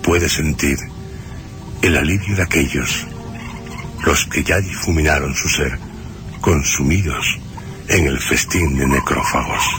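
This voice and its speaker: A man with an exceptionally deep voice narrates gothic horror. His delivery is very slow, solemn and literary, with suspenseful pauses. Music plays clearly beneath him. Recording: music under the voice.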